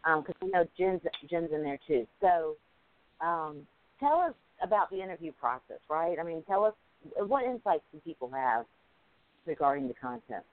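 A fairly high-voiced man from Alabama hosts a casual chat, joking easily and fumbling for names along the way. His voice comes through thin and phone-like. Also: lively intonation, moderate pace. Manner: chatty, joking, loses his train of thought. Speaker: interview host. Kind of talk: casual conversational interview. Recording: tinny phone-like line.

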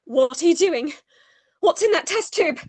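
A woman plays an earnest gentlewoman in a radio drama. Her voice is very high and sweeps up and down with theatrical, distraught feeling, recorded close and dry. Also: lively intonation, unhurried, no room sound. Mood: frightened. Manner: emotive, questioning, theatrical. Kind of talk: radio play acting.

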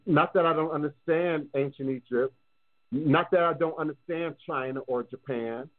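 A man's voice: a little high, normal speed. Emphatic New Orleans man on a phone line. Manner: emphatic, repeats phrases for stress. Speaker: radio show host and voodoo priest. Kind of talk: live call-in radio talk.

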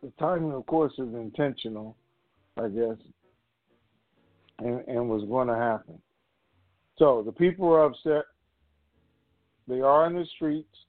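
A man speaks through a narrow, muffled phone connection with very little room sound. His pitch sits in the middle of the male range. He rambles casually and colloquially, as the host of a group conference call. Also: measured pace, pauses often, no room sound, soft background music.

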